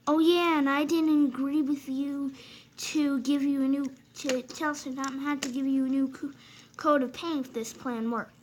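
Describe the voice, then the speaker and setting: A woman voicing a small, bossy male toy engine who scolds in a shrill voice. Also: fairly even intonation, normal speed, a little mellow, slight background noise, close to an everyday voice. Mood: exasperated.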